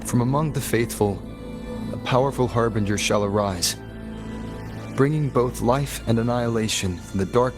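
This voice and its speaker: Guy doing a thoughtful young adventurer from a video game, measured and serious, echoey space with music behind. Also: medium pitch, close to an everyday voice. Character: earnest, thoughtful young male adventurer reasoning through mysteries. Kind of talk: English video game voice acting.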